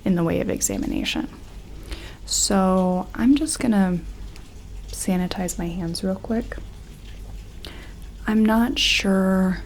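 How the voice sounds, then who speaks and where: A woman acts out a gentle medic in a sci-fi ASMR roleplay, speaking softly and informally right at the mic. The delivery is slow and hesitant, with plenty of vocal fry. Faint background ambience sits under the voice. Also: on the low side, slight background noise. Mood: gentle, curious. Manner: hushed, hesitant, reassuring, informal. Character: gentle, respectful female sci-fi colony medic examining an alien. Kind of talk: soft-spoken ASMR roleplay.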